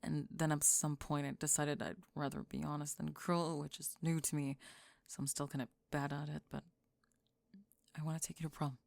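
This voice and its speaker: A woman acts out a cool teenage bully girl who has turned honest. Her voice is low with plenty of fry, recorded close and dry. She speaks bluntly, with wry, deadpan teasing, in an audio roleplay. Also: moderate pace, no echo. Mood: sincere. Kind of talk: ASMR audio roleplay acting.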